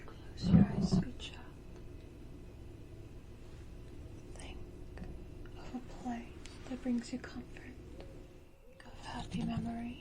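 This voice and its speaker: A woman voicing a gentle, mournful female vampire in an ASMR roleplay, speaking tenderly and formally in whispers. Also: a little high, rough recording. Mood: tender, coaxing. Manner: slow, tender, formal, condescendingly affectionate.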